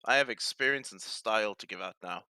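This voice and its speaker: Bright-voiced male game master. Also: fairly low pitch, a little fast, clear, resonant tone, no room sound. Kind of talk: casual tabletop game narration.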